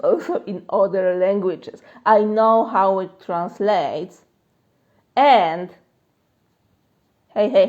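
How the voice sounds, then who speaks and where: A woman's voice: mid-range voice, dull audio. A woman with a Polish accent, a life coach answering questions in a live-stream chat, talking loosely with fillers and frequent laughs. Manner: chatty, many fillers, laughs often. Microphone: phone.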